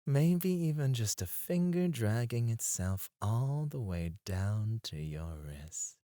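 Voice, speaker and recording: A man acting a flirtatious, self-assured male stripper in an ASMR roleplay, suave and teasing, with breathy asides, close on the microphone. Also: mid-range voice, lively intonation, slow pace, warm tone, dry recording. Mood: seductive. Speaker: ASMR voice actor.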